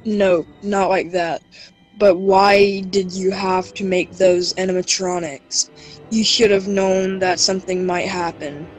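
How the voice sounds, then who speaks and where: An amateur actor playing an anxious, accusing restaurant worker in a horror audio drama, with halting, stilted delivery. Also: mid-range voice, little pitch movement, soft background music, natural voice, rough recording. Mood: anxious, questioning.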